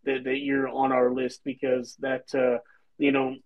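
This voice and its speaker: A man's voice: mid-range voice, monotone, unhurried, dull audio. A man in his thirties hosting a casual video call panel as an editor, talking in long rambling sentences full of fillers and restarts. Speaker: host and publisher's editor. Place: home room with bookshelves. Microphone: video call webcam.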